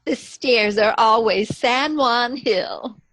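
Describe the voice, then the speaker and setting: A woman playing a gentle, genteel, slightly hesitant elderly sister in a radio comedy. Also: fairly high pitch, slow pace, no echo, low-quality recording. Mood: fond, fussing. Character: gentle, dainty elderly spinster sister, cheerfully macabre. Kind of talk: radio comedy theatre acting.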